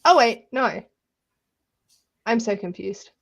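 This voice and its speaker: A woman co-hosting a book club livestream, chatting casually with animated reactions and frank slang. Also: on the high side, takes it slowly, vocal fry. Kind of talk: casual chat.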